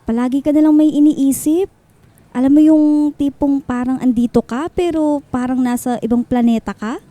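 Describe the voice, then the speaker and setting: A woman playing a playful, teasing young student best friend in a radio drama, with a Filipino accent and an expressive, chiding delivery. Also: sits high, moderate pace, no room sound, close to an everyday voice. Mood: teasing, curious.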